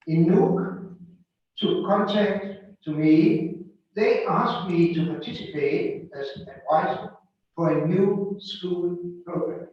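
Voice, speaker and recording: Slow older Danish man lecturing in an echoey hall. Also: sits high. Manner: slow and careful, reading closely from a manuscript. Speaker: guest lecturer. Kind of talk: reading a prepared lecture. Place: lecture hall in an art museum. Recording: distant, echoey hall, dull sound.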